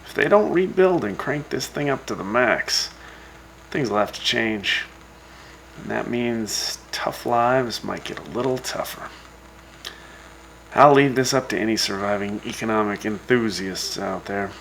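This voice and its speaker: A man playing a laid-back, folksy host of a post-apocalyptic wasteland radio show, giving news in a casual, unhurried way. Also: fairly low pitch, vocal fry, a bit bright, a little hiss or hum, rough recording. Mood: musing.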